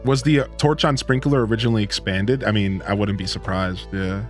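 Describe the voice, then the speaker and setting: A man's voice: fairly low pitch, fairly even intonation, fairly quick, faint music behind. A man from Ohio with a Midwestern twang, chatting casually with live-stream viewers in a rambling, laid-back way. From Northeast Ohio, USA.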